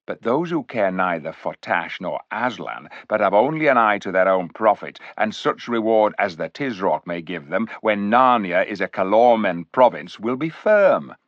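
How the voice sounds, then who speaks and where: A man's voice: on the low side, lively intonation, moderate pace, hardly any pauses, no echo, natural voice. Casting: a man playing a purring, cunning tomcat in a storybook, silky and sly, dull recording. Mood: scheming.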